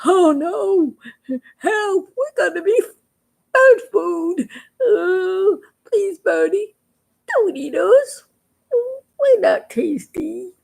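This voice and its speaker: A woman's voice: very high-pitched, very animated, takes it slowly, vocal fry, darkish tone, pitched above the performer's own voice, exaggerated voice. A woman voicing a pair of toads, snooty at first and then stammering in fear. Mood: terrified, stammering. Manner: stammering, sing-song taunting.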